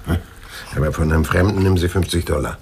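Very deep, gravelly hard-boiled detective. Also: male voice, quick pace, a little mellow, a little hiss or hum. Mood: dry, wary. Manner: terse, dry, blunt. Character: terse, hard-boiled male private detective, world-weary and wary. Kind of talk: radio crime drama acting and first-person narration.

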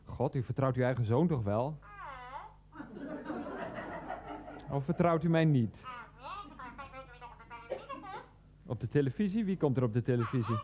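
An actor playing a hapless, earnest middle-aged husband and son in a radio play, hesitant, explanatory and easily defensive. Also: male voice, mid-range voice, expressive, fast, noisy background, lightly acted. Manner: hesitant, explanatory, petulant with his mother. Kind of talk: radio play acting, monologue and phone dialogue.

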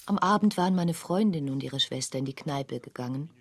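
An actress playing a grave woman who recounts personal misfortune in a radio play, with a husky voice. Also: low voice, a little fast, dry recording. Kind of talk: scripted first-person radio play narration.

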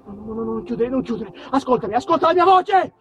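A desperate young man pleads with his dying friend, performed by a male actor. The voice is very high and rapid, urgently repeating itself over clear background music. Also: animated, a bit bright. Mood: tearful desperate coaxing.

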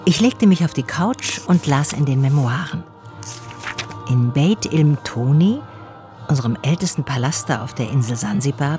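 An actress playing an inquisitive, self-assured investigator in a radio drama, matter-of-fact in both narration and dialogue. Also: female voice, low-pitched. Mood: wry narration.

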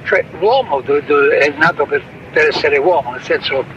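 High-voiced Roman man, noisy recording. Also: muffled recording. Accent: Roman Italian. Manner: halting, repeats and restarts words. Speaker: father being questioned. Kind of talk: informal recorded interview. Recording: loud traffic-like ambient noise.

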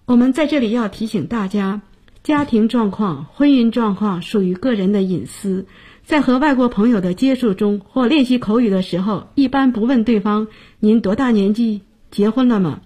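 A woman presenting a scripted radio language lesson, explaining and addressing listeners in a clear, instructive way, recorded on a studio mic. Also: on the high side, normal speed, dark tone.

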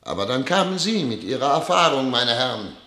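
A man's voice: mid-range voice, very animated, fairly quick, pushed and bright. An actor playing a solemn, disillusioned ruler who addresses his ministers in a grand, rhetorical radio drama style. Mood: regretful, solemn. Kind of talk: theatrical radio drama oratory. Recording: echoey hall, reverberant studio radio drama.

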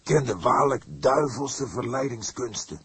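A man's voice: medium pitch, animated, takes it slowly, fairly bright tone, husky, dry recording, pitched above the performer's own voice, heavily acted. A man voicing a fervent, pious religious father in an audiobook, speaking in a solemn, declamatory way. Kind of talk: audiobook character acting.

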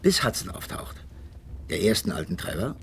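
A man's voice: on the low side, very animated, normal speed, husky, full character voice. A man acting the earnest, inquisitive companion of a detective in a radio drama, pondering and questioning. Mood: piecing together.

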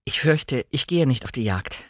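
A man's voice: mid-range voice, lively intonation, moderate pace, dry recording, studio quality, close to an everyday voice. A man voicing a timid, polite young wife who is eager to please, in a dramatic novel reading. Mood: apologetic.

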